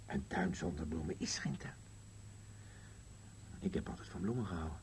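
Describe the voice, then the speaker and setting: An actor as a deep-voiced, gloomy old husband. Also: male voice, normal speed, a little mellow, a little hiss or hum, lightly acted. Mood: contrary. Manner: terse, contradicting, musing. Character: gloomy, contrary elderly husband on a park bench. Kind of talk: Dutch-language radio play acting.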